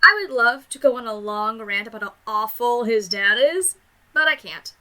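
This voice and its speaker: A woman with a high, very bright voice delivers a scripted review. She speaks casually and emphatically, gushing with enthusiasm like an eager fan. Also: normal speed. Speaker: video reviewer.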